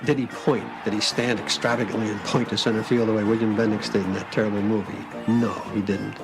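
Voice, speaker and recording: A man's voice: lowish voice, flat delivery, fast talker. A man interviewed in a documentary, speaking in an emphatic storytelling manner. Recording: music under voice.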